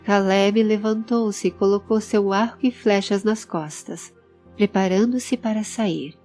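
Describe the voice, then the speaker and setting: A woman's voice: mid-range voice, unhurried, warm tone. A woman narrating a scripted audio drama. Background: background music.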